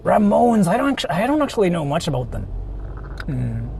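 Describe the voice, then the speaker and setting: A man's voice: high-pitched, big pitch swings, a little fast, vocal fry, darkish tone, a little hiss or hum. A man streaming live while he drives, talking to his chat and singing along to songs between remarks. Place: in a car.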